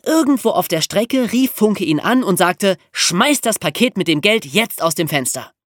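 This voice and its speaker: Animated storyteller, very dry close recording. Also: mid-range voice, normal speed, no echo. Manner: animated, colloquial, rhetorical questions. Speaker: podcast host telling true crime stories. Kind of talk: conversational storytelling narration.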